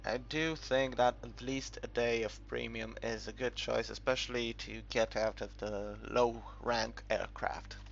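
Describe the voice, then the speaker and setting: A man's voice: mid-range voice, fairly even intonation, measured pace, gravelly, hardly any pauses, a little hiss or hum. A man from the UK giving a casual, unscripted game walkthrough, rambling and hesitant as he thinks aloud. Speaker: gamer making a game tutorial. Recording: muffled, dull recording with background music.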